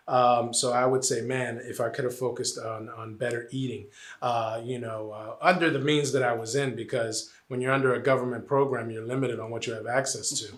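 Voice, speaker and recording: A man's voice: mid-range voice, a bit bright, reverberant. A man in his forties from New Jersey, answering interview questions earnestly, with many "uh"s and repeated phrases. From New Jersey, Puerto Rican family. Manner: earnest, lots of "uh" and "you know", repeats phrases. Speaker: interviewee, college administrator.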